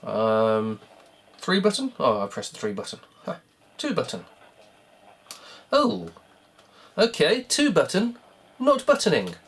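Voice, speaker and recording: A croaky speaker rambles through a self-mocking running commentary while taking apart a cassette player at home. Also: medium pitch, huge pitch swings, slow pace, pauses often, a bit bright. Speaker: hobbyist repair vlogger.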